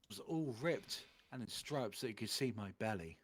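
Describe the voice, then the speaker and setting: A man's voice: fairly low pitch, expressive, moderate pace. A man doing a theatrical, comedic reading of amateur fan fiction, putting on voices for the quotes.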